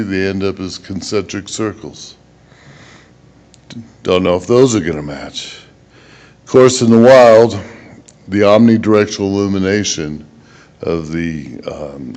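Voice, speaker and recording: Very low male voice, research presenter, conference talk delivery, halting and plain-spoken. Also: darkish tone. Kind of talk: conference presentation, then answering questions.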